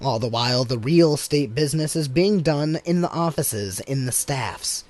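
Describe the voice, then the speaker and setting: A man reading a political book aloud as an audiobook, with measured, emphatic delivery. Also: mid-range voice, a little mellow, no room sound. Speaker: audiobook reader.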